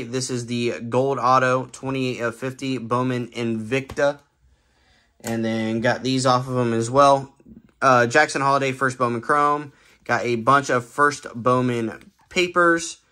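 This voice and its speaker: Young male host, bright tone, quick casual rundown of card buys at a table, lots of "uh"s. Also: mid-range voice. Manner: brisk listing of items with frequent fillers. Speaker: sports card dealer and channel host. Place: indoor room, cards on a table.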